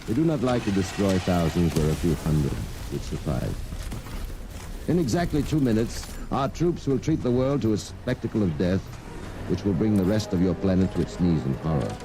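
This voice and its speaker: An actor playing a suave, cold-blooded Martian invader in a 1950s radio drama, speaking with smug, polite, measured menace. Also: male voice, sits low, expressive. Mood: grandly menacing. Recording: noisy old radio show recording.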